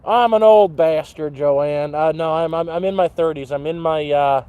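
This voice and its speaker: Livestreamer, 30s man, mellow voice, slightly high, rambly and sweary, outdoor campfire. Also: moderate pace, clear, resonant tone, no room sound. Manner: rambling, swears, talks to chat. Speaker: livestream host. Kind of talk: casual livestream chat.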